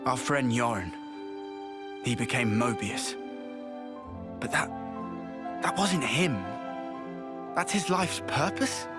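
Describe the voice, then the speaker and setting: An actor playing an earnest, self-deprecating yet determined young fighter in a video game, speaking plainly and from the heart. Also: male voice, medium pitch, animated, slow, pauses often. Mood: resolute. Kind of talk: video game cutscene acting. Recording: loud music under the voice. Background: loud music.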